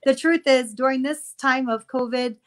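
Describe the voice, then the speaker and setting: A middle-aged woman from the United States speaks earnestly as a pastor guest over a laptop webcam. Her voice sits a little high, and she talks gently, with plenty of ums. It sounds like a home video call. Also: steady tone, normal speed. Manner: earnest, gentle, with many fillers. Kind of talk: casual video-call interview.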